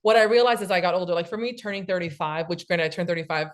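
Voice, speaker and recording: A woman in her late thirties, a cookbook author, talking casually and explaining things quickly with many fillers. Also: fairly low pitch. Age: 30s. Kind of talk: casual podcast chat.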